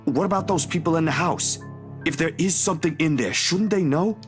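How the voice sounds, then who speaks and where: A man's voice: a little high, brisk, soft background music, pitched above the performer's own voice, close to an everyday voice. A man voicing an earnest young male reporter who asks polite questions.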